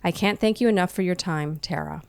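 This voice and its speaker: A woman's voice: lowish voice, fairly quick, clear, resonant tone. A woman co-hosting an advice podcast, speaking conversationally and reading listener mail. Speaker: podcast co-host answering listener questions. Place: living room, indoors.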